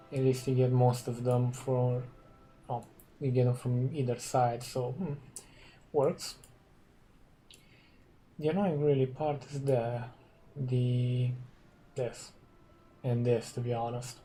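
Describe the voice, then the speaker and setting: A Romanian man, a non-native English speaker, casually commenting on a strategy game and thinking aloud with hums and pauses. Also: mid-range voice, little pitch movement, dark tone, slight background noise, rough recording. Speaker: gaming commentator.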